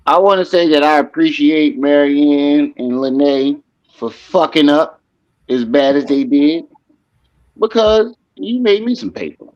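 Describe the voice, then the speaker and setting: A man's voice: a little high, lively intonation, unhurried, dull audio. A man hosting a livestream, loose and profane, thanking his viewers for donations. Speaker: livestream host. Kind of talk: casual livestream commentary.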